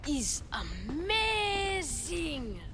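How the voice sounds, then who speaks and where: A woman's voice: high-pitched, very animated, extremely slow, noisy background, heavily acted. A woman voicing a young, defensive, streetwise treasure hunter in a game cutscene. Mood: eager. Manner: defensive, streetwise, a bit whiny. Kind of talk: video game cutscene acting. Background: game music.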